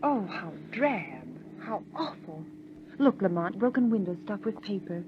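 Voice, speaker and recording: An actress playing a well-bred, expressive lady, a sleuth's companion, in a 1930s-style radio drama. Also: mid-range voice, a little slow, close to an everyday voice. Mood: dismayed. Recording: very noisy, muffled old radio recording.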